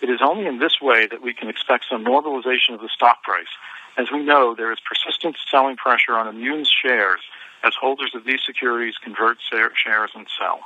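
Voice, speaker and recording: Sharp-voiced man on a dull phone line. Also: mid-range voice, slight background noise. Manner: formal, measured reading. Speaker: company chief executive. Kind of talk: scripted conference call statement. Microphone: telephone. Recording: dull telephone conference line.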